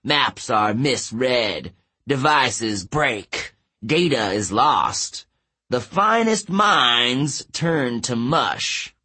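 A man voicing a huge, cruel male giant in a storybook, growling out slow, drawn-out taunts. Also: medium pitch, sing-song, bright, forceful tone, breathy edge, full character voice. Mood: gloating menace.

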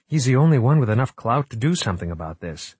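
A man's voice: medium pitch, big pitch swings, fast talker, a little mellow, slightly rough, no room sound, voice pushed up. A man voicing an earnest, determined young female scientist in an audiobook, explaining things seriously. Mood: quiet resolve. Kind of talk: audiobook character acting.

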